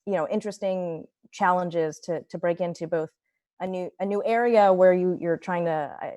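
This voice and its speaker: A woman's voice: on the low side, moderate pace, mellow, dry recording, studio quality. A woman scientist reflecting thoughtfully as a panelist over a video call, with many fillers and restarts.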